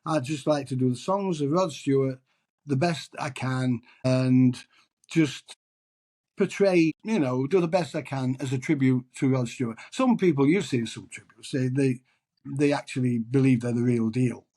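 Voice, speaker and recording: A man's voice: mid-range voice, moderate pace, dark tone. A middle-aged man from England, chatting in an interview as a tribute singer, self-deprecating and rambling with restarts. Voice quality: husky. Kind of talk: casual interview chat.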